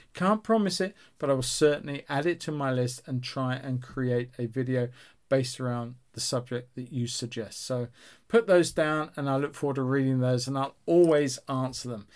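A man in his sixties speaks in a mid-range voice as the host of a painting tutorial. His delivery is casual and chatty, addressing viewers directly in an encouraging, instructional way. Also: medium pitch. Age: 60s.

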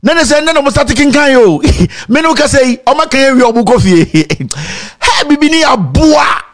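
A man's voice: very high voice, moderate pace. A young Ghanaian man with a Ghanaian accent, hosting a mocking commentary show and mixing English with Twi. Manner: animated, sarcastic, breaks into laughter and sing-song mockery. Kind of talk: animated commentary. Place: indoor studio set.

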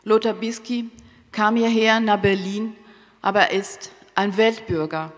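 A woman's voice: medium pitch, fairly even intonation. Careful woman interpreter in a reverberant hall. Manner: careful, halting short phrases with rephrasing. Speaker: consecutive interpreter. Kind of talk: solemn spoken interpretation of a eulogy. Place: theatre stage. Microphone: microphone on a stand.

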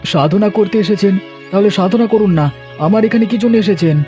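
A man's voice: high-pitched, normal speed, over music, natural voice. A man voicing an indignant village man in a horror cartoon, hostile and accusing in his delivery. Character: indignant village man confronting an unwelcome visitor.

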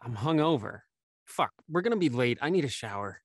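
A man's voice: medium pitch, big pitch swings, a little fast, no room sound, clean studio sound. A man playing a hungover, irritable and dismissive young man in an audio drama, grumbling and curt. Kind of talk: naturalistic audio drama read-through. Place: home, on a video call.

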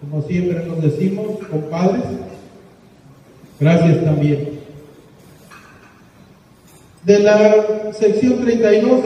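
An older man with a Mexican accent giving a formal thank-you speech from notes, solemn and halting, with pauses between short phrases. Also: high-pitched, mellow. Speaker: retiring school official giving farewell speech. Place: banquet hall, indoors. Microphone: handheld PA microphone, recorded by far camera. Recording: distant camera, echoey hall, dull, noisy.

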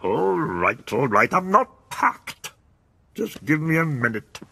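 A man voicing a bumbling, childish middle-aged cartoon character who mutters in short, throaty phrases and drawn-out interjections. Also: fairly high pitch, very animated, gravelly, bright, forceful tone, a little hiss or hum, exaggerated voice. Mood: grudging. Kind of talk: cartoon acting.

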